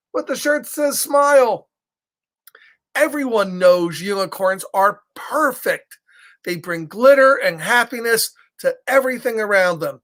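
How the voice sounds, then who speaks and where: A middle-aged man hosts a live story time for children. He reads from a picture book in an animated, playful way. He breaks off often to chat, ask questions and greet listeners by name. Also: sits high. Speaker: storybook read-aloud host.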